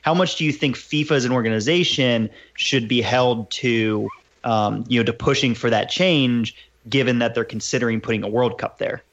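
Low-voiced podcast host, hedging. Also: male voice, moderate pace, a bit bright. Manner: thoughtful, hedging with many "you know"s. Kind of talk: casual discussion. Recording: dull, slightly lo-fi remote audio.

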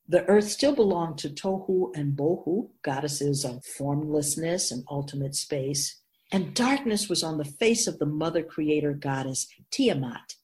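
A woman voicing a wise, ancient spirit who keeps souls, teaching patiently and deliberately in a scripted radio drama. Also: deep voice, darkish tone. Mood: solemn, instructive. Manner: measured, deliberate, didactic, solemn.

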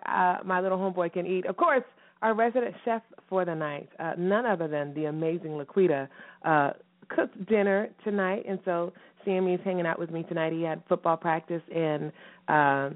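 A woman hosting a radio show in a lively, chatty way, her voice slightly hoarse and raspy from a recent cough. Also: on the low side. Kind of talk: casual radio chat. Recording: phone-line call-in radio.

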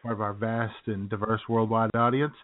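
A man co-hosting a casual internet radio show, joking in deadpan asides and laughing at his own lines. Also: on the low side, moderate pace. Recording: tinny phone-line internet radio audio.

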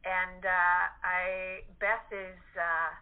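A woman's voice: on the low side, little pitch movement, extremely slow. Woman guest on a tinny phone line. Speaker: cast member being interviewed. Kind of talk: casual chat.

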